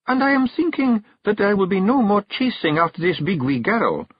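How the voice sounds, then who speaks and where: A clever Scottish fairy wife who runs her clan with a sweet, dangerous calm, as a man voices her. Her voice sits raised and measured with a husky grain. The recording is dry and slightly dull. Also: high-pitched, dry recording, pitched above the performer's own voice. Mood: sweetly stern. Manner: sweet, measured and quietly menacing. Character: shrewd young fairy wife and clan mother.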